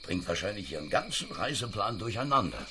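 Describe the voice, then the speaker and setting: An actor playing a gruff but courteous British general travelling through India in a radio play. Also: male voice, fairly low pitch, big pitch swings, a little fast, background noise. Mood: measured.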